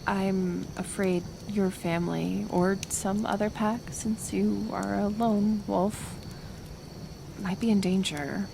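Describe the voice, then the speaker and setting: A woman playing a kind, stubborn forest healer in an ASMR roleplay, speaking gently and hesitantly, caring but persistent. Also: mid-range voice, steady tone, slow pace, creaky, noisy background. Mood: worried. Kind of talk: soft-spoken ASMR roleplay acting.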